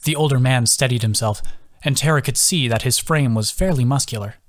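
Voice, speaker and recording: A man's voice: mid-range voice, a little fast. A man reading scripted fantasy fiction as an audiobook narrator. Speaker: audiobook narrator, author reading own book.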